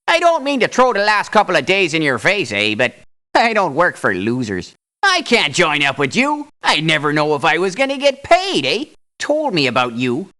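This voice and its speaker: A woman's voice: lowish voice, fairly bright tone. A woman playing a wary, plain-spoken mercenary in a video game, folksy and a little hesitant. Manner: folksy, hesitant, ends sentences with "eh". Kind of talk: video game character voice lines.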